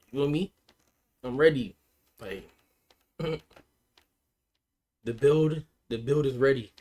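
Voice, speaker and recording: A man livestreaming and chatting with his viewers in a laid-back, slangy way, his voice hoarse and cracking. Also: mid-range voice. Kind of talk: casual chat with stream chat.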